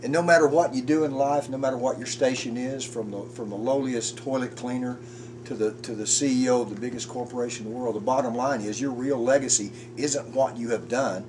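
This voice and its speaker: A man's voice: mid-range voice, steady tone. A man in his fifties talking earnestly and reflectively in a casual porch conversation, often restarting his sentences. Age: 50s. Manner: earnest, halting, with frequent restarts. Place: outdoors on a front porch. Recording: distant mic with background noise.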